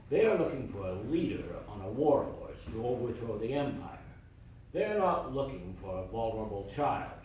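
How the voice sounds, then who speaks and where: A man reading a sermon aloud in a church, with a measured, deliberate delivery and emphatic phrasing. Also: mid-range voice, warm tone, slight background noise. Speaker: lay reader delivering a sermon. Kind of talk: read sermon. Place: church sanctuary. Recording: very echoey church from far off.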